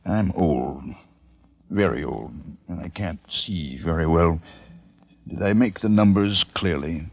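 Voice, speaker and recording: An actor playing a very old, nearly blind patriarch in a 1940s radio drama, wistful and earnest, halting and repeating his phrases. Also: low-pitched, vocal fry, husky, heavily acted. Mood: weary, reflective. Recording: muffled old radio recording with music.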